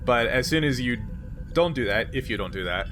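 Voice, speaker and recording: A man's voice: medium pitch, expressive, brisk, over music. A man acting as game master, narrating and explaining a tabletop game in an informal way.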